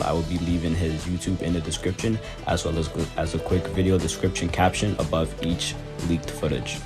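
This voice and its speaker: A man narrating a gaming video in an explanatory commentary style. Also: low voice. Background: music.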